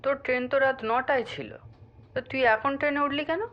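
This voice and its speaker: A woman plays a concerned old friend on a call in a cartoon ghost story. The voice is fairly high and familiar, with a dull, lo-fi sound. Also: steady tone, brisk, close to an everyday voice. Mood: concerned, casual. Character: concerned, informal childhood friend on the phone. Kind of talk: dramatised audio horror story for cartoon.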